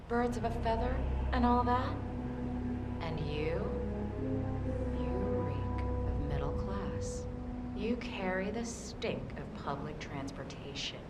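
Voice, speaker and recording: A woman playing a brash, ambitious corporate schemer in a video game, mocking, haughty and cutting. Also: mid-range voice. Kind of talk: video game cutscene acting. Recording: roomy echo under loud music.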